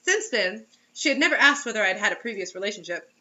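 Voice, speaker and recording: Bright-voiced woman reading aloud theatrically. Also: medium pitch, expressive, fast, dull audio. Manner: theatrical, giggly. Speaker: amateur reader of bad fiction. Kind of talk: mocking dramatic read-aloud with asides.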